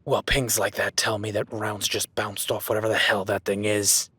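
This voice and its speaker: A man playing a gruff cowboy hunter in an audio roleplay, whispering while stalking and swearing and giving orders in a crisis. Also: lowish voice, little pitch movement, normal speed, pushed and bright, no echo. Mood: urgent, alarmed. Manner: swearing, commanding, urgent. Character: gruff rugged cowboy hunter tracking a beast. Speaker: ranch hand tracking a beast.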